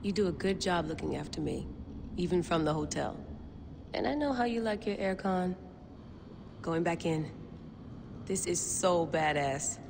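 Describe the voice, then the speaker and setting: A woman's voice: lowish voice, lively intonation, measured pace, long pauses. A woman playing a defiant, ambitious researcher in a video game cutscene, curt and dry in a naturalistic delivery. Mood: relaxed, wry. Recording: long reverb, clear background noise.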